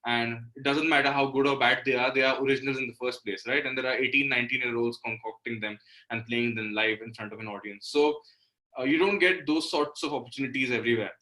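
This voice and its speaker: Indian guy from delhi, bright forward voice, relaxed interview chat. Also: medium pitch, quick pace, poor audio. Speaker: guest, indie musician. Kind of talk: casual interview talk.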